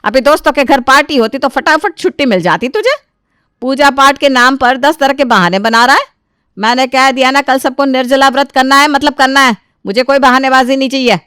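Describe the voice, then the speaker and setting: Stern religious mother-in-law played by a woman, older, bossy, insistent, high and crisp, for moral story. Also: a little fast, sharp tone, no room sound, studio quality. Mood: scolding. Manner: commanding, scolding, insistent. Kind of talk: acted dialogue in a moral story.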